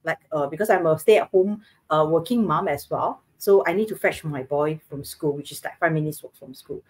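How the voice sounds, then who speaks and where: A woman's voice: sits low, moderate pace. Earnest Singaporean woman explaining over video call. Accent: Singaporean English. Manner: earnest, explanatory, many fillers. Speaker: co-host. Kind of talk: casual live-stream chat. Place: home living room, indoors, video call. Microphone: webcam on video call.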